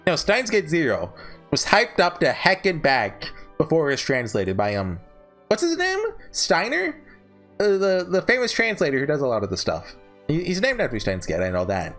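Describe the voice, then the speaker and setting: This is a man with a fairly high-set voice and very lively intonation. He speaks like a YouTube host giving casual commentary, rambling and opinionated, with light music playing behind him. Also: moderate pace. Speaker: YouTuber host ranking visual novels. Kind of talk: casual off-the-cuff review commentary.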